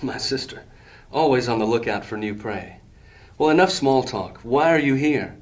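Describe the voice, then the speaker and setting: A proud leader of a thieves' gang, voiced by a man in a fantasy radio play. He talks in a formal, ceremonious way, with a mid-pitched voice. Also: normal speed, natural voice. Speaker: actor. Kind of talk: audio drama acting.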